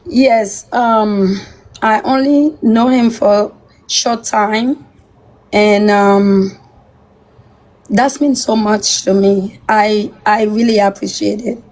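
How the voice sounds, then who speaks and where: Soft-spoken woman on a video call. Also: medium pitch, fairly even intonation, takes it slowly, rough recording. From South Africa. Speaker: guest on a live stream. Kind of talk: polite video-call chat.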